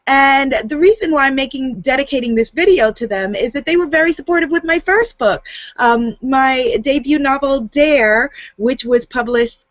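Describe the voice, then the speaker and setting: Lively author woman, light Guyanese accent. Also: sits high, normal speed, dry recording. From United States. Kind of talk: casual talk to camera. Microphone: webcam on a video hangout. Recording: dull, dry home recording.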